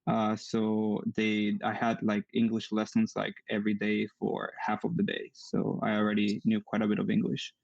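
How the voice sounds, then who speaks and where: A man's voice: fairly low pitch, monotone, normal speed, creaky, hardly any pauses, a little mellow, no room sound, highs cut off. A young man from Mexico with a strong Mexican accent, a Spanish native speaker answering earnestly as an interview guest. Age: young adult. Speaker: guest, engineering student. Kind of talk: online interview.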